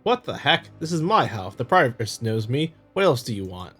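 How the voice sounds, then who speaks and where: A man's voice: medium pitch, sing-song, normal speed, fairly bright tone, husky. A man voicing a placating young husband in a visual novel, soothing and coaxing his wife in an amateur dramatic style. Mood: indignant. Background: music.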